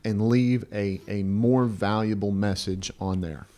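A man's voice: fairly low pitch, fairly even intonation, unhurried, warm tone. A man in his fifties co-hosting an advice podcast, talking bluntly and emphatically, repeating phrases and checking in with "right?". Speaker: podcast co-host. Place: small indoor podcast studio. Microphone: close podcast mic on stand.

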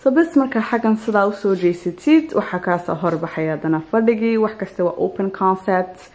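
A woman's voice: medium pitch, lively intonation, brisk, darkish tone. Chatty woman walking through her home. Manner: chatty, mixing in English words, pointing things out. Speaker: vlogger giving a home tour. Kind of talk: casual home tour walkthrough. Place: bright open-plan house indoors.

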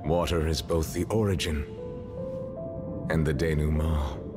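An actor playing a solemn, dignified judge in a video game trailer, speaking in a formal, measured, grave manner. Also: male voice, rumbling low, steady tone, over loud music. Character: solemn, dignified male judge of a grand court. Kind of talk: dramatic scripted game trailer narration.

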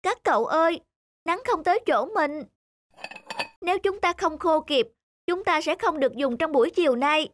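High-pitched indignant cartoon household object. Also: female voice, animated, moderate pace. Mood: worried. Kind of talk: animated moral tale dialogue. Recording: music behind the voice.

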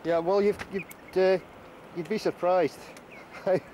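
A man chatting casually in an outdoor car park, agreeable and quick to laugh. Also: high voice, slight background noise. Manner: relaxed, agreeable, laughs easily.

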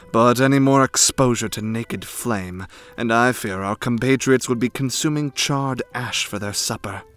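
A man's voice: lowish voice, fairly bright tone. A man playing a frightening, formal young noble retainer, dry and secretive, with pompous, precise archaic diction, in an ASMR roleplay. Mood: stern, exacting. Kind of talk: ASMR roleplay, scripted character acting.

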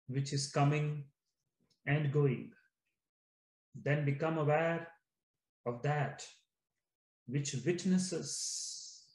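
Male meditation teacher, slightly high voice, very unhurried, deliberate phrasing with pauses, echoey room sound. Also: a little mellow. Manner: measured, repetitive phrasing with frequent pauses. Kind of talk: guided meditation, slow deliberate instruction.

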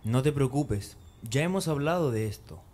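A man's voice: medium pitch, lively intonation, moderate pace, a little mellow, lightly acted. A man playing an earnest, reassuring young son in a radio drama. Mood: reassuring, affectionate. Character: young, ambitious, reassuring son leaving home.

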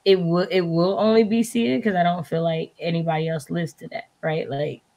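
A woman's voice: lowish voice, fairly even intonation, normal speed, mellow. A woman in a casual book chat over a video call, informal, circling back and posing rhetorical questions. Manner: informal, repeats herself, asks rhetorical questions. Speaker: book discussion panelist. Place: indoor room, video call.

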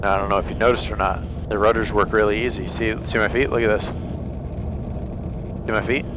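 A man's voice: on the low side, fairly even intonation, brisk. A man coaching casually as a flight instructor, informal and joking, through an aircraft headset. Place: small light aircraft cockpit. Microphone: aviation headset. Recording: loud engine noise, aircraft headset intercom.

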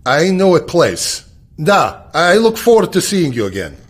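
An actor playing a wary, courteous Russian intelligence officer in a game cutscene, with a Russian accent, a husky voice and hesitant, formal phrasing. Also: male voice, a little high, sing-song, measured pace, heavily acted. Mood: guarded, courteous. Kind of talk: video game cutscene acting.

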